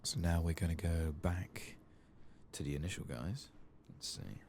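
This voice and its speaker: A man giving soft-spoken, whispered ASMR commentary over a game playthrough, murmuring casually as if to himself. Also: deep voice, unhurried, a little hiss or hum. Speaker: gaming ASMR host.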